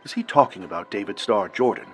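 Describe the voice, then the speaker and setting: An actor playing an inquisitive, suspicious doctor turned amateur detective in an audio drama, with a husky voice. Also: male voice, fairly low pitch, animated, fast talker, a bit bright, faint music behind. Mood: curious, puzzled.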